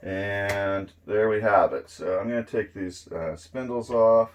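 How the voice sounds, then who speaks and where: A middle-aged man giving a casual, unhurried hands-on demonstration, explaining each step as he goes. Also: lowish voice. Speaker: presenter of electronics demo videos. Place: indoors at a draped table.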